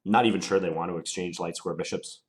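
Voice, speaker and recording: A man with a very low voice, stuffed up from a cold, talks himself through his chess moves. He sounds deliberate and musing, weighing each option out loud. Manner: deliberate, musing, unhurried analysis. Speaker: chess player commentating his own game. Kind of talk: thinking aloud while playing.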